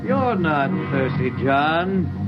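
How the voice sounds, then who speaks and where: An actor playing a menacing Martian disguised as a human brother, eerie and insistent, in 1950s radio drama. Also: male voice, a little high, big pitch swings, slow. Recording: muffled old radio broadcast with loud music.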